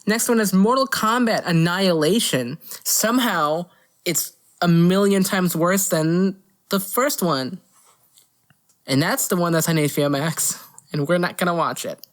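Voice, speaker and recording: A woman's voice: on the low side, unhurried. A woman co-hosting a podcast, chatting casually about movies in a blunt, profanity-laced way. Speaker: podcast co-host.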